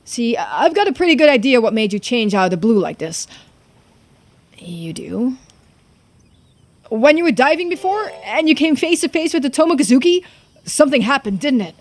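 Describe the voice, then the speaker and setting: A woman's voice: high-pitched, sing-song, moderate pace, pauses often. A woman voicing a frank, loyal young sidekick in a video game, with a casual, emphatic, questioning manner. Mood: concerned, probing.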